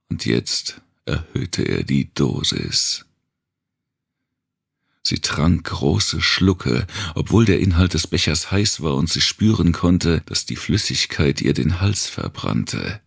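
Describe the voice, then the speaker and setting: A man reading scripted narration for a dark thriller audiobook. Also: extremely low pitch, sing-song, slow pace, gravelly, husky.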